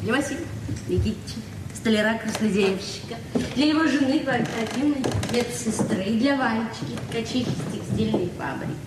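An actress playing an idealistic, open-hearted librarian in her forties in a Soviet radio play, speaking earnestly with searching pauses. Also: fairly high pitch, close to an everyday voice. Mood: earnest, tender. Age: 40s. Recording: roomy, distant, noisy 1970s radio recording.

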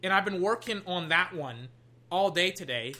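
Excitable, slightly hoarse young card opener. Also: normal speed. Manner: excitable, swings between loud hype and low muttering; swears casually, trails off and restarts. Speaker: card-opening vlogger. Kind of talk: casual commentary while opening card packs. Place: at a desk indoors.

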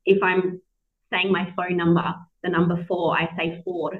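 A woman in her thirties with an Australian accent, hosting a casual chat, lively and quick to laugh. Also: lowish voice, slight background noise. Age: 30s. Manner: chatty, animated, laughs a lot. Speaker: podcast host. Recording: tinny phone-like call audio.

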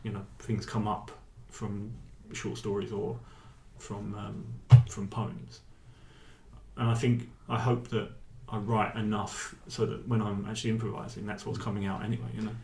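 A man's voice: on the low side, little pitch movement, normal speed, creaky, a little mellow, reverberant, a little hiss or hum, low-quality recording. An English man who performs spoken word, talking in a rambling, hesitant way with many restarts, in a casual interview. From England.

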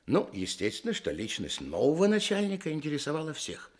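An actor playing a storyteller in a Russian radio play, narrating with measured, gentle irony. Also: male voice, fairly high pitch, wildly swooping pitch. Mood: wry, ironic. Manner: measured, ironic.

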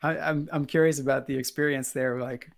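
A man's voice: medium pitch, fairly even intonation, a little fast, gravelly. A man co-hosting a casual interview, asking curious questions with frequent stammered restarts. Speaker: podcast co-host.

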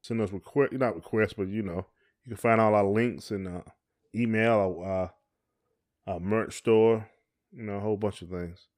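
A man's voice: fairly low pitch, fairly even intonation, a little slow, a little mellow. A middle-aged man, chatting casually as a podcast co-host in a folksy, encouraging way.

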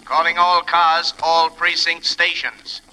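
An actor playing a formal, deliberate police radio dispatcher in a 1940s radio drama. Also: male voice, a little high, little pitch movement, poor audio. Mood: official. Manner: official, deliberate.

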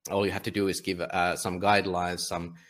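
A Finnish man, a publisher and guest, talking casually in a conversational interview, often halting and restarting his sentences. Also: low-pitched, little pitch movement, fairly bright tone. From Finland. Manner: halting, many restarts and fillers.

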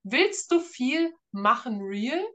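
A woman's voice: high voice, animated, slow pace. A woman in her thirties from northeastern Germany, an author being interviewed, talks casually in a candid, self-deprecating way. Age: 30s.

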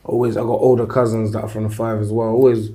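A man's voice: lowish voice, level tone, quick pace, dark tone. A man with a London accent, answering interview questions in a laid-back way, full of street slang and repeated "innit". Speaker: guest rapper.

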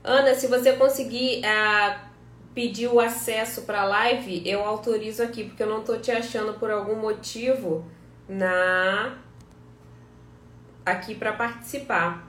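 A woman's voice: medium pitch, steady tone, a little slow, a bit bright, reverberant, far from the mic, a little hiss or hum. A woman hosting a casual live-stream interview, chatty and encouraging, asking friendly questions.

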